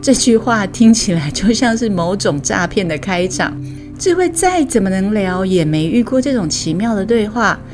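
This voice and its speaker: Casting: female Taiwanese story host, scripted narration, mid-low pitch for a woman, music underneath. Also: lively intonation, moderate pace. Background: music bed.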